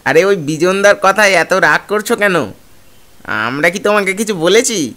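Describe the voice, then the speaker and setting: A man's voice: high voice, lively intonation, moderate pace, sharp tone. A man playing a plain-spoken village man in a cartoon, coaxing and teasing his friends. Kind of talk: cartoon dialogue.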